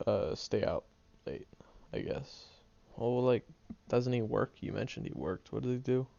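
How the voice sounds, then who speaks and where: A man's voice: mid-range voice, a little slow, vocal fry, dark tone. A man voicing a prickly young male student who secretly cares, talking hesitantly with stammers and ums in an audio roleplay. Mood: grudgingly listening. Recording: dull lo-fi recording.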